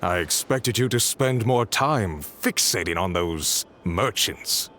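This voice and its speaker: Smug, animated villain. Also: male voice, on the low side, slow, a little hiss or hum, heavily acted. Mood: smug, gloating. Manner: gloating, pompous, theatrical. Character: smug resentful male villain, thieving self-styled genius inventor. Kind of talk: scripted video game dialogue.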